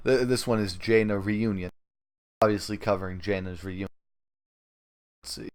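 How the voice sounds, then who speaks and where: A man's voice: fairly low pitch, steady tone, moderate pace, clear, resonant tone. Man hosting a casual gaming podcast. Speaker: podcast host.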